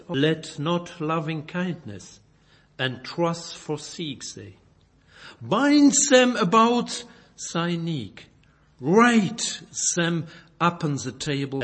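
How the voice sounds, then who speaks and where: A man from Belgium, a native French speaker with a Belgian French accent, preaching and reading scripture in halting English, searching for words and correcting himself. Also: on the high side, a bit bright, slightly rough. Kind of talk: informal Bible sermon with scripture reading.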